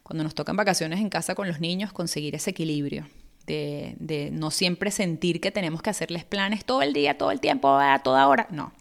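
Venezuelan woman, 30s, kinda low voice w lots of fry, chatty podcast host thinking out loud. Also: brisk. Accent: Venezuelan Spanish. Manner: chatty, thinks aloud with small restarts. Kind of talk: casual solo podcast monologue.

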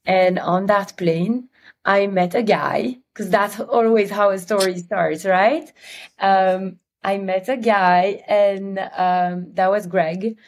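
A woman with a Belgian accent, a guest in an interview, talking in a friendly storytelling way with frequent pauses. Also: mid-range voice, steady tone. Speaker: guest, company CEO. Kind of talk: interview conversation.

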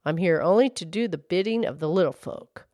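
A woman's voice: lowish voice, lively intonation, fairly quick, a little mellow, dry recording, clean studio sound, voice pushed down, close to an everyday voice. A woman voicing a plain-spoken, brusque but obliging horse in a storybook tale. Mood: matter-of-fact. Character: helpful horse, matter-of-fact servant of little folk.

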